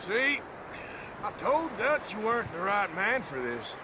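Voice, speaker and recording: An actor playing a gruff, dry-witted American outlaw cowboy in a video game, with a laconic, sardonic drawl. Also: male voice, high voice, very animated, unhurried, background noise. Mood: mocking. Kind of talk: video game cutscene acting.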